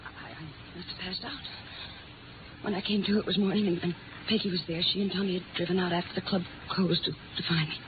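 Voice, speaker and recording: An actress playing a scared young nightclub singer in a 1950s radio drama, halting and anxious, repeating herself, on a scratchy old recording. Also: female voice, fairly low pitch, steady tone, narrow, tinny audio, close to an everyday voice. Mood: shaken, drained. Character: frightened young female nightclub singer, panicky and pleading.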